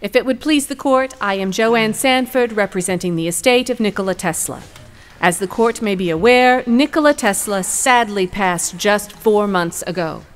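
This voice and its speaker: A woman's voice: mid-range voice, moderate pace, lightly acted. A woman acting as a poised, combative attorney in a courtroom audio drama, speaking formally and eloquently with a pointed edge. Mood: formal, composed.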